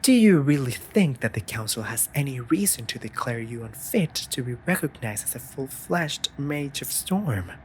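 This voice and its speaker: A woman's voice: very deep voice, expressive, normal speed, hardly any pauses, darkish tone, slight background noise. A woman voicing a sassy, exasperated but devoted raven familiar in a fantasy audio drama, scolding theatrically in formal phrasing. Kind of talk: scripted roleplay audio drama addressed to listener.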